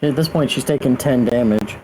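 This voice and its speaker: A man's voice: medium pitch, fairly quick, dark tone, a little hiss or hum, low-quality recording. A man chatting casually as a player in a tabletop game. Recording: music playing behind the voice. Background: music.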